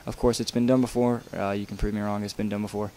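Rambling, low-voiced young man. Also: flat delivery, quick pace, dark tone, a little hiss or hum. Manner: casual, rambling, many fillers and restarts. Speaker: video game tutorial maker. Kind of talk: rambling tutorial voice-over while playing.

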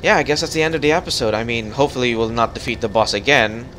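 A man's voice: mid-range voice, very fast. A young man hosting a casual co-op gaming session, talking in a loose, easygoing way. He hands out directions between small fillers and hesitations. A faint hiss of background noise sits under his voice. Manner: relaxed, guiding with fillers. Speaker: gaming channel host. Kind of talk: casual co-op gameplay chat.

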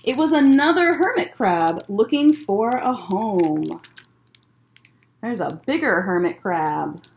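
A young American woman, a children's librarian, reading a picture book aloud to children with expressive, deliberate phrasing and short asides. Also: mid-range voice, gravelly. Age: young adult. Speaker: children's librarian hosting story time. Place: indoor library room lined with bookshelves. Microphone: webcam at desk distance.

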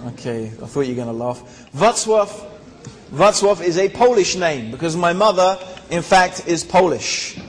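A man's voice: high voice, lively intonation, measured pace. A man, telling his life story to a hall audience, with rhetorical asides and many fillers, into a handheld mic. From born in Tanzania, raised in England. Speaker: speaker. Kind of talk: personal story talk to an audience. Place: large hall with seated audience. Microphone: handheld microphone. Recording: long hall reverb.

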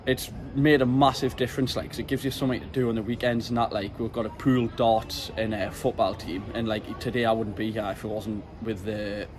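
A man's voice: lowish voice, level tone, brisk, hardly any pauses. A young man with a Geordie accent, chatting as a football fan in a street interview, saying "aye" and "like" a lot. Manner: chatty, peppers speech with "like" and "aye". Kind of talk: street vox pop. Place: city street outdoors. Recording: street noise behind.

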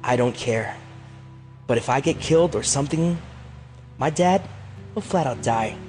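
A man's voice: medium pitch, animated, measured pace, a little mellow, faint music behind, lightly acted. A man playing a sarcastic, anxious teenage boy in a fan audio drama, with an emphatic delivery. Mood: somber.

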